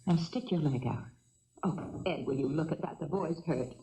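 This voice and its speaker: A woman's voice: low-pitched, mellow, lightly acted. Woman playing a fussing, unflappable motherly housewife. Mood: bossy, concerned. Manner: brisk, scolding yet caring. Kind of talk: 1970s radio drama acting. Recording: distant old radio broadcast.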